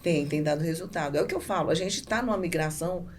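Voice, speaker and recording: Brazilian businesswoman, slightly low voice, faint noise. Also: fast, a little mellow. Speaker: podcast guest, business owner. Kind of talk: casual interview answers.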